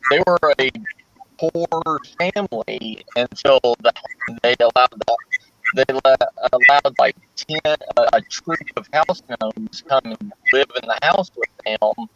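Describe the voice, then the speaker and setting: A man's voice: mid-range voice, takes it slowly, fairly bright tone, no room sound, faint music behind. A man hosting a casual group chat, rambling with many restarts and fillers. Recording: dull dry lo-fi sound.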